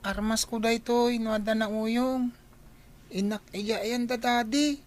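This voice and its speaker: A woman's voice: on the high side, steady tone, a little slow, a little mellow, soft background music, natural voice. A woman playing a defiant young child in a Filipino radio drama. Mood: defiant, complaining. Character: defiant young child afraid of being shut away.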